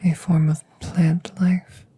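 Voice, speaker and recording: A woman playing a curious, clinical alien scientist in an ASMR roleplay, speaking softly and formally at a slow, measured pace, close to the mic. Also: lowish voice, flat delivery, husky, full character voice. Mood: fascinated, hushed. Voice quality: soft, often whispered close to the mic. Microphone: close microphone.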